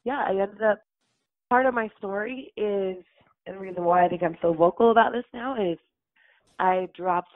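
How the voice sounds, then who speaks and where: A woman's voice: medium pitch, moderate pace, dry recording. Woman, chatty interview guest, laughs a lot, tinny phone-like sound, dry. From Utah, USA. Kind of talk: casual interview chat.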